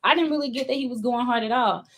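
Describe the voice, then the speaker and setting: Woman cohost on a live stream, kinda high voice, chatty and candid. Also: quick pace, fairly bright tone. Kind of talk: casual live-stream chat.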